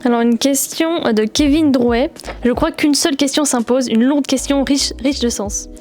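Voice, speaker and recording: A woman reading viewer questions aloud in a brisk, matter-of-fact way. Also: high-pitched, a little mellow, soft background music.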